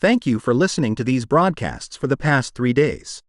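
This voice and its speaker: A man's voice: medium pitch, lively intonation, normal speed, no echo, studio quality. A man delivering scripted emergency alert narration as a broadcast announcer.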